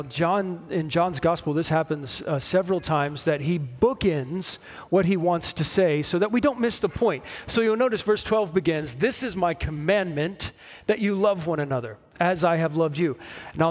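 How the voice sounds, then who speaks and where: A man in his forties preaching a sermon, explaining in measured short phrases with pauses. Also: high-pitched. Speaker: pastor preaching. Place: church stage at a lectern, indoors.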